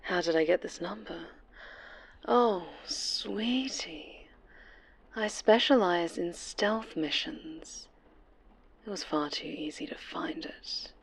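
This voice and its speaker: A woman playing an obsessive female supervillain stalker in an ASMR horror roleplay, breathy and often whispering, sweetly threatening and taunting. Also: on the low side. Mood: smug, teasing. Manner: slow, taunting, possessive.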